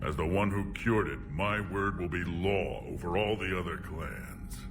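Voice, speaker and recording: An actor voicing a huge, proud, menacing alien clan leader in a video game, with a gravelly rumble and a blunt, domineering delivery. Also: male voice, a little slow, music in the background. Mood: vengeful, commanding. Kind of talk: video game cutscene acting.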